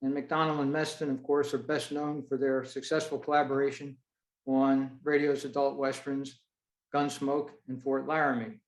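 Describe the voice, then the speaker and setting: A man hosting an online meeting, giving an informal presentation in a measured, halting way over a video call. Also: mid-range voice, level tone. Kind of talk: informal spoken presentation from notes. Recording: roomy, echoey video-call audio.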